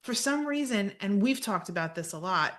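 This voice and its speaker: A woman's voice: medium pitch, animated, normal speed, fairly bright tone. A woman in her late thirties co-hosting a casual podcast chat, agreeing readily and telling stories with playful drama. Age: 30s. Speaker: podcast co-host.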